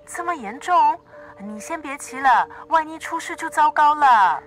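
An actress playing a chatty, bossy but worried best friend in a radio drama, animated and nagging, with a Singaporean accent. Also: female voice, high voice, moderate pace, soft background music. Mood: concerned. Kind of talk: Mandarin radio drama acting.

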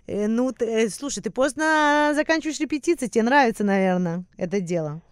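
A woman's voice: a little high, animated, a little fast, clean tone, dry recording. A woman hosting a podcast in a conversational interview style. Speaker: podcast host.

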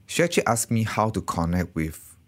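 A man from Malaysia, a startup founder, answering interview questions in a halting way, repeating words. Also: lowish voice, big pitch swings.

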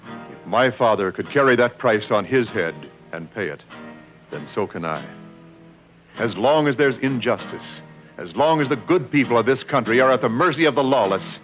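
An actor playing a grim, resolute masked vigilante in solemn 1950s radio drama style. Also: male voice, mid-range voice, animated, normal speed, faint music behind, close to an everyday voice. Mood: grim resolve. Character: vengeful masked vigilante hero, grim and resolute young man. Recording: muffled, reverberant old 1950s radio recording.

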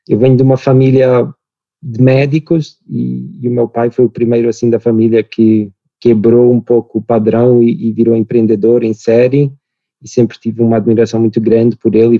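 A man in his thirties from Portugal with a European Portuguese accent, speaking as a podcast guest in a measured way with small restarts. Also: mid-range voice, dry recording, lo-fi sound. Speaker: podcast guest, company cofounder. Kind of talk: relaxed business podcast conversation.

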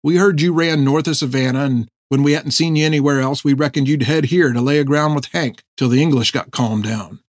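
Man acting gruff sailor sergeant, earnest, folksy period seafaring speech, storybook dialogue, dry recording. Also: on the high side, a little fast, few pauses, dry recording, lightly acted. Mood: blustering, coaxing. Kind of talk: audiobook character dialogue.

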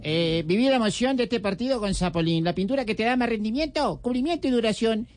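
A woman reads a radio ad in a slightly high, playful voice. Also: fast talker, a little mellow.

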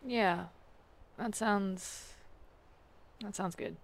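A woman's voice: medium pitch, extremely slow. A woman playing a sardonic, teasing monster hunter in an audio drama, casual and sarcastic in delivery. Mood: subdued. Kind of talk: audio drama acting, naturalistic dialogue.

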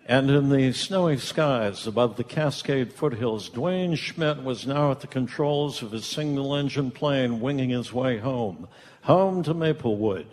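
A man's voice: mid-range voice, lively intonation, a little slow, a little mellow, natural voice. A man performing as the dramatic storytelling narrator of a comedic holiday radio play. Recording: live theatre hall, long reverb.

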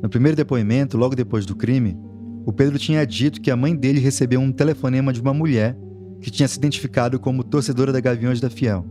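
A man tells a true-crime story in a calm, explanatory way, pitched in the middle for a man. Music runs clearly beneath his narration. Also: quick pace. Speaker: podcast reporter and co-narrator.

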